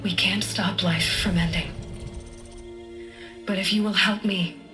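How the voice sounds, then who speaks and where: A woman playing a visionary lead scientist in a video game, speaking in deliberate, grave, inspiring oratory. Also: medium pitch, fairly even intonation, over music, natural voice. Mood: solemn, rousing. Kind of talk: video game cutscene speech.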